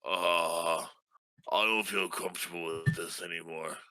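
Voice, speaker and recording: Bright, slow-talking male role-player. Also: low-pitched, fairly even intonation, dry recording. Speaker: tabletop game player. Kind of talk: improvised in-character role-play and table chat.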